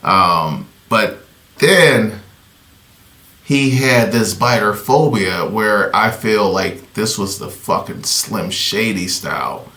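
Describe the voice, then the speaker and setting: A man's voice: lowish voice, slow pace, pushed and bright. A man hosting a music reaction, talking casually and profanely, rambling with fillers. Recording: echoey room.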